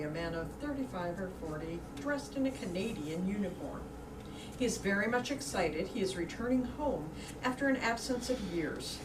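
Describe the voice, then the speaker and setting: A woman reading stage directions as narrator of a staged play reading. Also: medium pitch. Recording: distant mic, echoey room, background noise.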